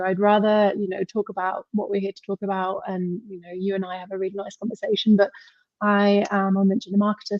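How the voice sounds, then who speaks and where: A woman's voice: mid-range voice, darkish tone, no room sound. English woman, podcast guest, chatty and rambling, dry dull sound over a video call. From England. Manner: chatty, rambling, laughs often. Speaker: podcast guest, marketing mentor. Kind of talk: casual interview chat.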